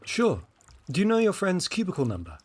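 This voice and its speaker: A man's voice: high voice, wildly swooping pitch, brisk, darkish tone, breathy edge, full character voice. An actor playing a cautious, helpful young man in an audio drama, explaining things earnestly and easily flustered.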